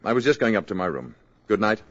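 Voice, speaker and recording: An actor playing a calm, grave alien emissary in a 1950s radio drama, speaking formally and with measured restraint. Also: male voice, fairly low pitch, breathy edge, no room sound, lo-fi sound, heavily acted. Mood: courteous, gentle. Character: calm, grave male alien emissary posing as a courteous lodger. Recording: vintage radio drama recording.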